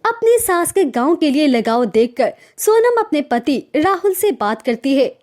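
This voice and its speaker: A woman's voice: sits high, big pitch swings, a little slow, a little mellow. A woman narrator reading a scripted story.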